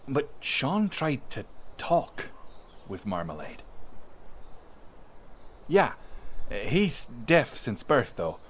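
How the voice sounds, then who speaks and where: A man's voice: mid-range voice, a little hiss or hum. A man playing a chatty young villager who fondly recounts sea monster tales in an informal, rambling audio roleplay. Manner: informal, animated, rambling witness account with hesitations. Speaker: voice actor. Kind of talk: in-character audio roleplay storytelling, conversational.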